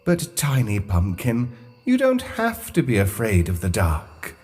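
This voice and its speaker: A woman voicing a gentle, reassuring male ghost in a children's storybook, with a husky, breathy voice and a soothing, drawn-out delivery. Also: very deep voice, huge pitch swings, noisy background, voice pushed down, heavily acted. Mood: encouraging.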